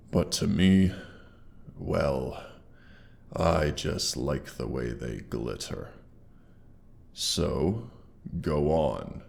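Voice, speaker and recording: Very deep, slow, haughty dragon. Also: male voice, gravelly, exaggerated voice. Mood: smug, doubting. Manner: slow, menacing, condescending, theatrical pauses. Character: injured, haughty male dragon guarding his treasure hoard. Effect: monster voice processing. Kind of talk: scripted roleplay audio drama.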